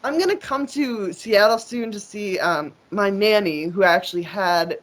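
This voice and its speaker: A woman's voice: mid-range voice, animated, measured pace. A woman guest in a casual interview, talking in a rambling, profane way with lots of fillers and restarts. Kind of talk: casual conversation.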